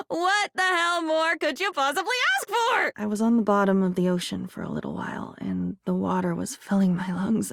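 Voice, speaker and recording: A woman's voice: fairly high pitch, no echo, studio quality. A woman voicing a melancholy living diary in a video game, needy and poetic, speaking in wistful, emotional fragments. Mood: hurt, bitter. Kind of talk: dramatic video game voice acting.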